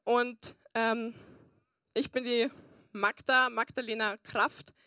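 A woman's voice: on the high side, little pitch movement, takes it slowly, bright, forceful tone, no echo, clean studio sound. A young woman pastor preaching a sermon and telling her personal testimony, earnest with frequent fillers. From Bavaria, Germany.

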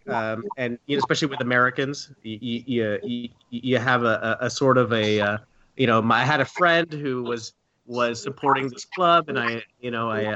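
A man's voice: mid-range voice, sharp tone. A man in his thirties, a podcast guest who talks hesitantly, stammering and repeating words. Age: 30s. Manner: hesitant, stammering, many fillers. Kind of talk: casual podcast interview. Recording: dull, lo-fi sound.

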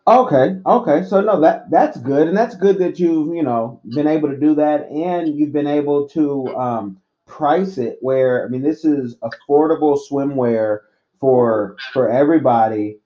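A man from East Oakland interviewing a guest in a casual, halting way with many fillers and repeated words. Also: on the high side. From East Oakland, California. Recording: dull lo-fi recording.

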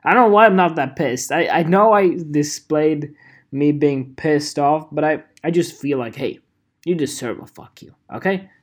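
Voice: mid-range voice, moderate pace. A vlogger ranting casually to camera, swearing and joking in a mock-angry way.

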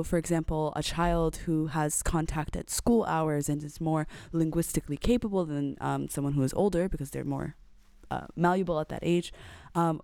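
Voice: medium pitch, gravelly, mellow, no echo, clean studio sound. An interviewer asking a long question carefully, with frequent fillers.

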